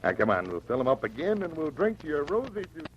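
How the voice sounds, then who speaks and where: An actor playing a sly, glib swindler with folksy, shifty speech in an old-time radio drama. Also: male voice, fairly high pitch, big pitch swings, fast, a little hiss or hum. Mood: falsely hearty. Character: sly, glib male swindler feigning friendship. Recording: muffled old radio recording.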